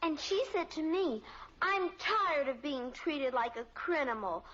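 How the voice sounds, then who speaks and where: A woman's voice: sits high, unhurried, fairly bright tone. An actress playing a chatty, insistent little girl who rambles through her stories in a radio sitcom. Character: chatty little girl, youngest daughter, undeterred. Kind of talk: 1950s radio sitcom acting. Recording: dull old radio recording, faint noise.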